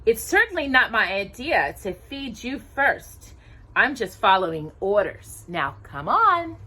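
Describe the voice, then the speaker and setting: A woman voicing a kind young storybook heroine who can turn brisk, in an expressive, sing-song manner. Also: medium pitch, exaggerated voice, poor audio. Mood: impatient, sarcastic. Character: kind young woman, gentle fairy-tale heroine, briskly bossy with animals.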